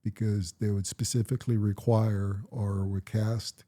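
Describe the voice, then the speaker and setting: A man's voice: fairly low pitch, little pitch movement, mellow. A middle-aged man from Jamaica with a Jamaican accent, talking reflectively in a casual conversation with his daughter. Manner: reflective, measured storytelling. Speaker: interviewee, parent.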